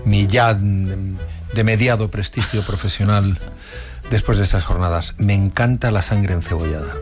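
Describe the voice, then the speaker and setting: A man's voice: lowish voice, normal speed, music in the background. A man from Asturias hosting a radio talk show, chatting and telling stories at an easy pace. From Asturias, Spain. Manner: chatty, storytelling, thoughtful. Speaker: radio host.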